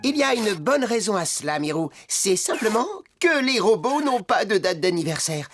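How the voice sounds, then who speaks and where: A woman's voice: medium pitch, sing-song, normal speed, faint music behind, lightly acted. A woman voicing a polite, naive, earnest little robot in a children's cartoon, with a formal, sing-song, curious way of talking. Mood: matter-of-fact.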